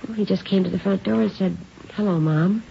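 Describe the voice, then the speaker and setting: An actress playing a worried, gentle mother in an old radio drama. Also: mid-range voice, brisk, gravelly. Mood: worried, relieved. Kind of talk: 1950s radio drama acting. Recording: dull, noisy old radio recording.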